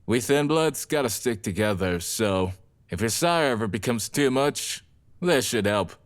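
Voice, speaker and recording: An actor playing a laid-back, friendly young vampire gang member in an audio drama, talking in casual slang. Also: male voice, mid-range voice, animated, measured pace, natural voice. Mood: friendly, easygoing.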